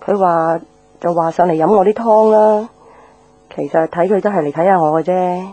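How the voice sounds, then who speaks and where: An actress playing a caring, thrifty older mother with a homely, gently nagging way of talking, in Hong Kong Cantonese radio drama. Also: lowish voice, moderate pace, lo-fi sound, lightly acted. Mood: caring, chatty.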